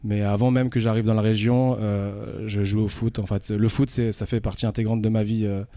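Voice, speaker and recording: A man's voice: on the low side, little pitch movement, normal speed, dark tone, no room sound. A man in his thirties from France, a radio guest chatting casually, hesitant with many fillers and restarts. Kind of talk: casual interview talk.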